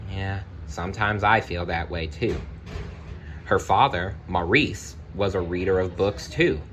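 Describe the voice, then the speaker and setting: A man with a deep voice reads a children's storybook aloud at an unhurried, slow pace. His delivery is deliberate and expressive, like storytime for young listeners. There is noticeable noise behind him. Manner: deliberate, expressive narration with brief personal asides. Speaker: storybook reader.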